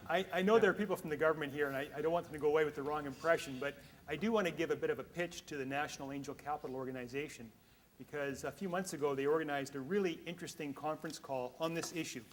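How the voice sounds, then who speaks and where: A man's voice: on the high side, slight background noise. A man from Canada moderating a conference panel, speaking candidly with frequent restarts. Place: conference hall.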